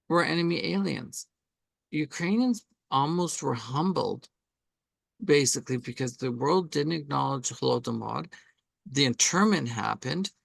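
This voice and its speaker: A middle-aged man answering interview questions in an animated, conversational way, often checking in with "right?" between points. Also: mid-range voice, vocal fry, no room sound. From Canada. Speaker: artist interviewee.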